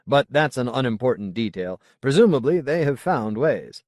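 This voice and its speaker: A man voicing a solemn, zealous colony leader in an audiobook, speaking in a formal, deliberate, oratorical way. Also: medium pitch, very animated, darkish tone, no echo, clean studio sound, pitched above the performer's own voice, close to an everyday voice. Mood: explanatory, firm. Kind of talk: audiobook character dialogue.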